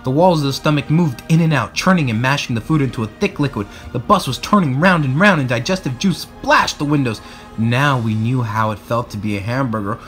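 A man reading aloud to children in an expressive, playful storybook style. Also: mid-range voice, fairly quick, soft background music. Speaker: storybook reader. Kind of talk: animated read-aloud narration for children.

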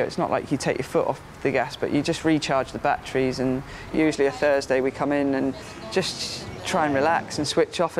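A man's voice: a little high, a little hiss or hum. A young man from England, a jockey, chatting casually in an interview and leaning on "you know".

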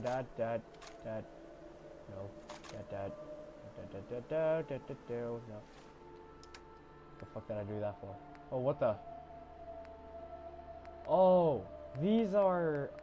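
Slow-mumbling congested young man gaming. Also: medium pitch, dull audio. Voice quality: congested, stuffy. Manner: mumbling, swearing, sudden loud outbursts. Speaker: gamer playing a shooter game. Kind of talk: casual gameplay commentary.

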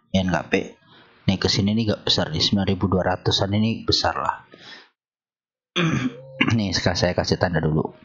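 A man with a hoarse, somewhat low voice walks through stock charts. He talks in a relaxed, chatty way, full of small fillers. The recording sounds dull and lo-fi. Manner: relaxed, conversational, many fillers. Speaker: stock market analyst. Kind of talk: screen-share chart walkthrough.

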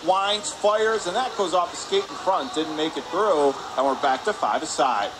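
High-voiced man calling live hockey play-by-play. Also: moderate pace. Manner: clipped phrases, excitable on big plays. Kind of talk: live radio-style play-by-play commentary. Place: indoor ice hockey arena. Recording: clear rink noise behind. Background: arena ambience and noise.

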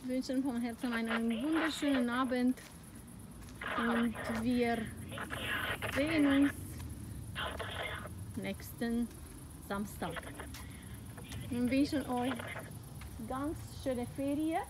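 Middle-aged non-native woman, high unhurried voice, hesitant tour guide, noisy outdoor phone clip. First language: not German. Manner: halting, many fillers and self-corrections, giggles. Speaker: school director giving a tour. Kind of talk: improvised walking presentation. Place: outdoors on a school playground at dusk.

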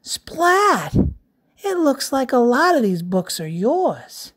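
A woman doing a gentle, kindly storybook librarian. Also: high-pitched, sing-song, slow, husky, voice pushed up, exaggerated voice. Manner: gentle, reassuring, amused. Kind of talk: storybook read-aloud.

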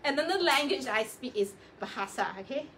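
A woman with a high, bright voice hosts a live shopping show. She chats effusively and coaxes her audience along, with some noise in the recording. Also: animated, a little fast. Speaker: host of a fashion live show. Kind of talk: live sales presenting, chatty.

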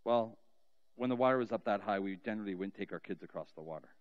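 A middle-aged man preaching as a pastor in a church sanctuary, telling a story earnestly and slowing down with soft, trailing endings. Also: fairly low pitch, dull audio. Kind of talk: sermon storytelling and spoken prayer. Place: large church sanctuary, indoors. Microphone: cheek headset mic.

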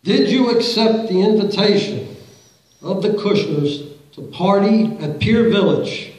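Middle-aged man, higher than usual voice, public commenter at a podium, confrontational, booming echo of a large hall. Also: lively intonation, a little slow, a little mellow. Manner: pointed, confrontational questioning. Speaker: public commenter at a council meeting. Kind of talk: public comment, reading quotes aloud. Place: large council chamber, indoors. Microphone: podium mic, recorded by a far camera in the audience. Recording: very echoey hall from far off.

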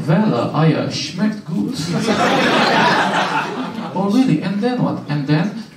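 A young man from Romania doing a stand-up set on a small club stage with a handheld mic, delivering deadpan punchlines and chatting with the crowd. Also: high-pitched, slow, very noisy. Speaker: stand-up comedian. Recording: roomy club sound, camera far off. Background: audience laughter.

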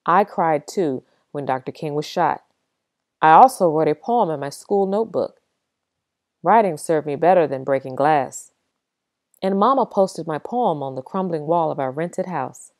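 A woman reading a picture book aloud in an expressive storytelling manner, rising and swelling on the quoted lines. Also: on the low side, no room sound, clean studio sound. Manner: dramatic, swells loud on quoted shouts.

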